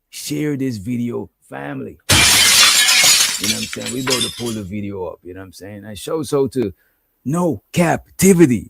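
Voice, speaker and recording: A man's voice: medium pitch, vocal fry, very noisy. A man hosting a live online stream, talking loosely and drawling to his chat while he plays clips. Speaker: livestream host. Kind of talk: casual stream commentary.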